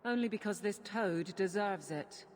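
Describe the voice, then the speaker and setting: Female actor as hard-edged warrior, fantasy game, medium pitch, curt menace, hall reverb. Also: unhurried, slight background noise, natural voice. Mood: stern, threatening. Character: stern, forceful female fighter, curt and threatening.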